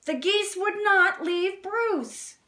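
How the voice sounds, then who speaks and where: A woman's voice: very high-pitched, expressive, slow, pushed and bright, slight background noise. A woman reading a picture book aloud to young children, adding chatty explanations and questions as she goes. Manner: addresses young listeners directly with simple explanations and questions. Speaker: children's story-time reader.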